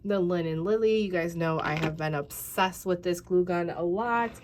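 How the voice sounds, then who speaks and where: A woman's voice: lowish voice, normal speed, a little mellow, slight background noise. A tired, congested woman in her thirties chatting casually through a craft tutorial, rambling with many fillers and talking to viewers as "you guys.". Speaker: craft channel host.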